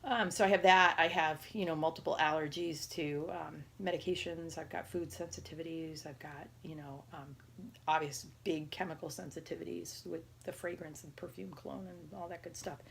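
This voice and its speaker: A woman around forty with a raspy voice, talking casually and haltingly in a personal health vlog. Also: sits low, fairly even intonation, fairly bright tone, slight background noise. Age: 30s or 40s. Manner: halting, rambling, conversational. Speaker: patient video diarist.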